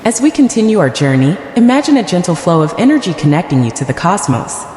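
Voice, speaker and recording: A woman leading a scripted guided meditation in a gentle, soothing, deliberate manner. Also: lowish voice, very animated, roomy sound, big-room sound, a little hiss or hum.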